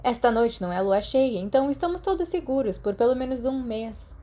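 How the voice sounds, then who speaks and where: A woman's voice: a little high, animated, fairly quick, warm tone, voice pushed up. A thoughtful teenage girl played by a woman reader with a Brazilian accent. Her voice is raised and light, matter-of-fact but wary as she reasons aloud in storybook style. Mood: pensive, wary.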